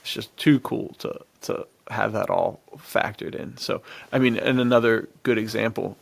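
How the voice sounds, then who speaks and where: A man's voice: lowish voice, fairly even intonation, normal speed, vocal fry, dry recording. A man co-hosting a podcast, chatting casually and enthusiastically about action movies in a rambling way. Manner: enthusiastic, rambling, chuckling often. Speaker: podcast co-host.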